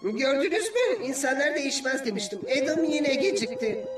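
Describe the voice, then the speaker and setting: A stubborn little cartoon wizard creature. The very high voice chatters cheekily, sounding echoey and set back, with music underneath. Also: male voice, big pitch swings, normal speed, far from the mic. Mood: smug. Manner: chattering, know-it-all. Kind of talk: dubbed cartoon comic sidekick acting.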